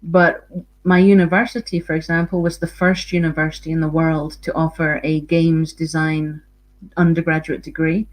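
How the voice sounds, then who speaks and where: A woman's voice: sits low, a little mellow. A Scottish woman, a university researcher, giving an earnest online conference talk with frequent ums and repeated words. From Scotland.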